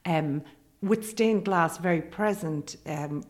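A woman hosting a podcast, interviewing a guest in a relaxed conversational style. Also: lowish voice, slow. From Ireland.

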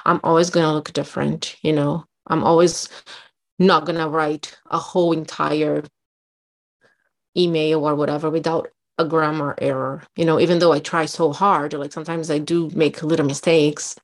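A woman's voice: low voice, little pitch movement, moderate pace. A woman from Brazil with a Brazilian Portuguese accent, co-hosting a podcast and telling a personal story in a candid, emotional way with many fillers. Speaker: podcast co-host. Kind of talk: casual chat.

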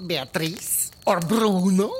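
A woman playing an excitable, teasing, theatrical Italian character in a comedic audio drama. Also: fairly low pitch, slow, a little hiss or hum. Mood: opinionated.

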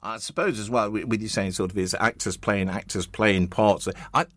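A man's voice: lowish voice, normal speed, fairly bright tone, no echo. A man hosting a conversational radio interview in a chatty, rambling, enthusiastic way.

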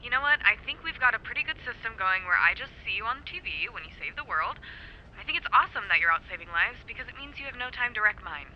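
An actress playing a bitter, sarcastic young adult daughter in an audio drama, heard through a telephone filter. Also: female voice, on the high side, very animated, fast talker. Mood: bitter, hurt. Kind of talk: naturalistic audio drama acting. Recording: muffled phone line, faint noise.

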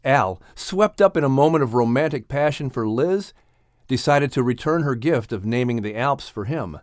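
A man narrating a children's audiobook in an expressive, scripted storytelling style. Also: medium pitch, normal speed, no room sound, studio quality.

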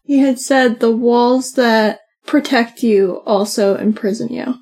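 A soft-voiced woman co-hosting a podcast, talking casually and often saying "like". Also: a little high, slow. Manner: chatty, many "like" fillers. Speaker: podcast co-host. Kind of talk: casual conversation.

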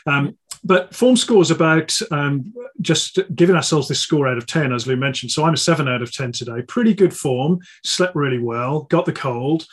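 A man with a Birmingham accent, croaky from a cold, hosting a live fireside chat in an upbeat, earnest way with frequent ums. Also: fairly high pitch, normal speed. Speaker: interviewer and mental health campaigner.

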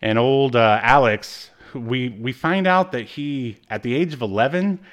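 A man co-hosting a podcast, chatting casually through a recap in a halting way, as if searching through his notes. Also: medium pitch, a bit bright. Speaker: podcast co-host. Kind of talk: casual chat recapping a TV episode.

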